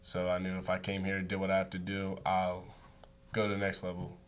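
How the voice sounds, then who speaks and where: An easygoing young man with a lowish voice talks about his sport. His answers are casual and loose, filled with "like". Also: completely flat delivery, a little fast, smooth. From United States. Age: young adult. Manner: easygoing, says like a lot. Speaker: student athlete guest.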